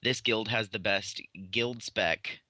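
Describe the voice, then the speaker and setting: A man's voice: on the low side, fairly even intonation, slow, bright, forceful tone, no room sound. A man on a gaming podcast panel, casually putting questions to the others.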